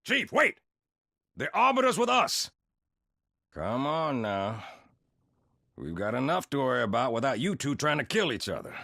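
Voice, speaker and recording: An actor playing a tough, wry, fatherly sergeant major in a game cutscene, gruff and gravelly, barking with swagger. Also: mid-range voice, huge pitch swings, moderate pace, long pauses, pushed and bright, no echo, studio quality, heavily acted. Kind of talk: video game cutscene acting.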